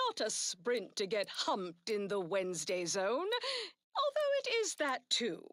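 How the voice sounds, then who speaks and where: A woman playing a brash, folksy corporate tycoon in a video game, giving theatrical, grandiose propaganda pep talks and sales pitches. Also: fairly bright tone, no room sound, clean studio sound, full character voice. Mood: rousing pep talk. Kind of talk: theatrical corporate motivational broadcast.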